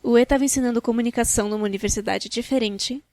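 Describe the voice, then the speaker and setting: High-voiced Brazilian woman telling a story. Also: quick pace, no echo. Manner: expressive, wry, colloquial. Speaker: narrator of a fan-dubbed animated storytime video. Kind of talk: scripted storytime narration. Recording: background music.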